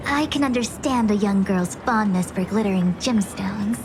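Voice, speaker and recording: A woman's voice: medium pitch, music in the background, lightly acted. Woman as a composed, formal diviner master. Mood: prim. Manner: formal, measured, precise. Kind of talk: video game voice acting.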